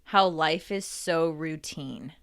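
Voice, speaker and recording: Loud, chatty woman podcast co-host. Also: deep voice, takes it slowly. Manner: chatty, swears freely, talks loudly. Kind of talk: casual chat.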